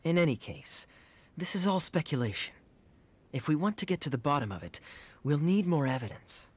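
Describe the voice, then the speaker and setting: A man voicing a suave, sly young cavalry captain in a video game, smooth, teasing and theatrical. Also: a little high, very animated, moderate pace. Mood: musing.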